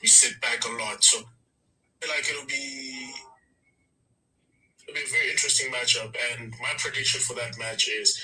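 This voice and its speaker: Bright-voiced man in slangy football banter. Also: mid-range voice, normal speed, pauses often, far from the mic, poor audio. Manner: slangy, repeats words excitedly. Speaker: football show host. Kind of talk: casual banter and match analysis.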